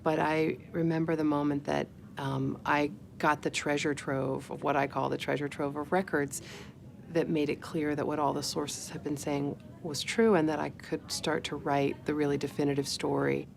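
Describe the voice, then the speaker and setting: Low, creaky-voiced woman reporter. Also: level tone, normal speed, a little hiss or hum. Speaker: investigative newspaper reporter. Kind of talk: documentary interview and narration. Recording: music bed behind the voice.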